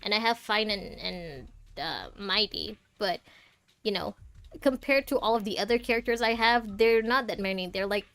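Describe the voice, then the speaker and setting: A woman's voice: mid-range voice, lively intonation, moderate pace, a bit bright, smooth, no room sound. A woman streaming a game, chatting casually and expressively as she thinks aloud and answers viewers. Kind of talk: casual livestream chat, reading out chat messages and game text.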